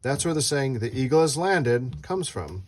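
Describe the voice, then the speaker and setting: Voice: mid-range voice, sing-song, moderate pace, a little mellow. Someone reading a children's book aloud as host, playfully asking a child questions and adding casual asides.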